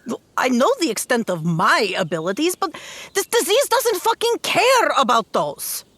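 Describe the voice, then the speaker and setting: A woman's voice: high-pitched, big pitch swings, normal speed, fairly bright tone, dry recording, voice pushed up. A woman voicing a tough, blunt young warrior in a tabletop game, hesitant and wry, anxious for her mother. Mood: anxious, frustrated.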